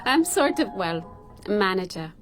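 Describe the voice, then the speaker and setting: An actress playing a cheeky, warm-hearted young Jamaican woman who manages a bar in a radio drama, teasing and frank in an unhurried way. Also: mid-range voice, sing-song, soft background music. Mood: teasing, sly.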